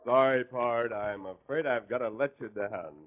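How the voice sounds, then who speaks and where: An actor playing a hearty, courteous cowboy hero in 1940s radio style. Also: male voice, medium pitch, normal speed, phone-quality sound, slight background noise, close to an everyday voice. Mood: politely reluctant. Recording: husky, phone-thin 1940s radio recording.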